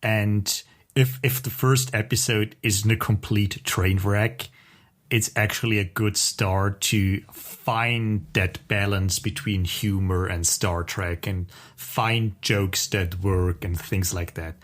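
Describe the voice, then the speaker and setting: A man's voice: lowish voice, fairly even intonation, slow pace, fairly bright tone. A man co-hosting a podcast, talking in a casual conversational style. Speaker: podcast co-host.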